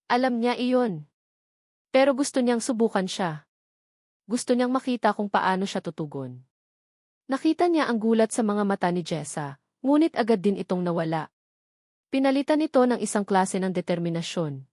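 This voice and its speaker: A woman narrates a scripted love drama with measured, dramatic delivery. Her pitch sits in the middle of the usual female range, and the sound is very dry, with no room to it. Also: lively intonation, a little mellow, no room sound.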